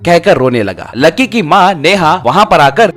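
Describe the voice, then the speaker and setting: A man's voice: a little high, lively intonation, unhurried, a bit bright. A man narrating a scripted, children's-style story.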